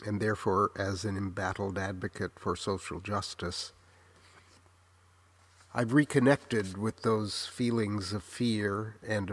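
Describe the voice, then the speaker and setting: Memoir narrator: American male, 60s, slightly deep, takes his time, earnest scripted reflection with a literary, measured delivery. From Los Angeles, United States.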